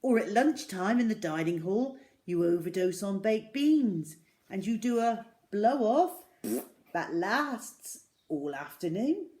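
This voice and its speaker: Slow, very expressive woman reading stories. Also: mid-range voice. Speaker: children's story reader. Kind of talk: expressive storybook reading aloud.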